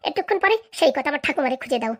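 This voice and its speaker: A woman's voice: sits high, lively intonation, racing, fairly bright tone. A woman voicing a bossy, scheming village housewife in a comic cartoon, with brash, scolding, rustic speech.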